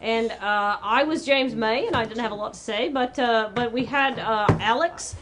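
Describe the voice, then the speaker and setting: A woman's voice: fairly high pitch, moderate pace, a bit bright. A woman from New Zealand, with a New Zealand accent, chatting casually as a charity stream host. She is self-deprecating and often restarts her sentences. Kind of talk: casual chat.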